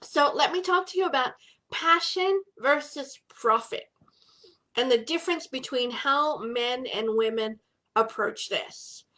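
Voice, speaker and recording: A woman's voice: sits high, unhurried, sharp tone, rough recording. A middle-aged woman hosting a casual live business chat from home, bubbly and greeting viewers by name.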